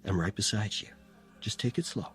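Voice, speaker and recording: An actor voices a reassuring father in an audio drama. He speaks softly and gently at an ordinary pitch. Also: brisk, faint music behind, lightly acted. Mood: gentle. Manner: soft, reassuring.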